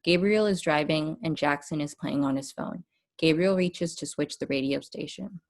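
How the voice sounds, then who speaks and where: A woman's voice: sits low, moderate pace. A woman reading stage directions as scripted narration for a table read.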